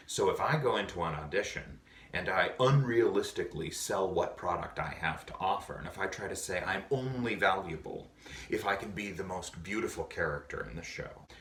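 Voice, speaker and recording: Awards presenter, man, very deep voice, earnest and measured, recorded far off in an echoey room. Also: fairly bright tone, a little hiss or hum. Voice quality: low voice. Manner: earnest, measured advice with many pauses. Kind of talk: prepared speech to drama students. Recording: echoey, distant mic.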